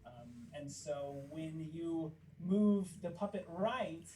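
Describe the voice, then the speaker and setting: A man from Kansas with a squeaky voice, a puppeteer guest chatting casually and acting out stories. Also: takes it slowly, far from the mic, a little hiss or hum, low-quality recording. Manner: animated storytelling, acts out quotes. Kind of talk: casual interview chat.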